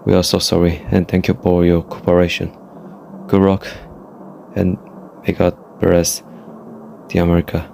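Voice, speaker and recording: A man's voice: sits low, monotone, normal speed, creaky, long pauses, a little mellow, slight background noise. A man reading a scripted emergency broadcast announcement in a formal, solemn manner.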